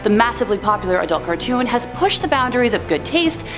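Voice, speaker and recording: A woman's voice: a little high, very animated, quick pace. A woman in her twenties hosting a scripted countdown video, with chatty asides and an informal, playful, folksy way of talking over background music. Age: 20s. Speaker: host of a scary countdown video channel.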